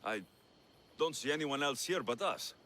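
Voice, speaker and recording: An actor playing a tough but wry and kindly mercenary in a video game. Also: male voice, mid-range voice, expressive, normal speed, sharp tone, slight background noise. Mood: puzzled, concerned. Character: tough male Greek mercenary, gruff but kind, wry. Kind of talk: video game cutscene acting.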